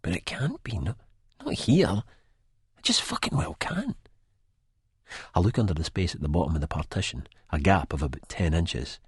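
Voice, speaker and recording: An actor playing an anxious Scottish man in a first-person audiobook, with a deep, husky voice. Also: sing-song, moderate pace, pauses often, no echo, studio quality, heavily acted. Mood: panicked dread. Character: anxious Scottish man narrating in sheer terror of an old enemy.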